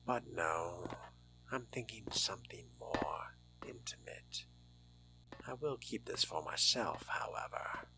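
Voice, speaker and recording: A man's voice: deep voice, lively intonation, slow pace, gravelly, pushed and bright, in a whisper, poor audio. A man narrating a video game playthrough in character, with theatrical delivery and formal wording. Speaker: role-playing game streamer.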